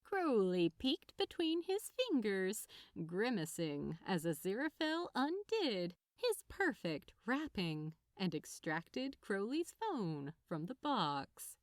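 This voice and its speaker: A woman narrating a piece of fiction as an expressive scripted reading. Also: mid-range voice, takes it slowly, few pauses, no echo, studio quality.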